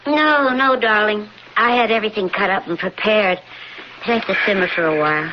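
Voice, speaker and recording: A woman plays a devoted lawyer wife in a 1980s radio drama. Her medium-pitched voice is earnest and encouraging, with dramatic emphasis. The old broadcast sounds dull, with faint hiss. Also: normal speed, lightly acted. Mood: affectionate.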